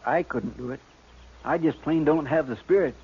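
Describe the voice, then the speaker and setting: An actor playing an earnest, tender-hearted frontier sidekick, folksy, hesitant and deferential, in a 1950s radio western. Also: male voice, fairly high pitch, moderate pace, close to an everyday voice. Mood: pleading. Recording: muffled, noisy old radio recording.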